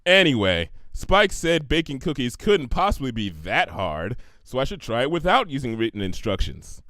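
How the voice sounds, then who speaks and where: A man's voice: medium pitch, big pitch swings, moderate pace, a bit bright, dry recording, clean studio sound. A man voicing a bookish, well-meaning young female cartoon pony with an earnest, precise, weary manner for a story reading. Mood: exasperated.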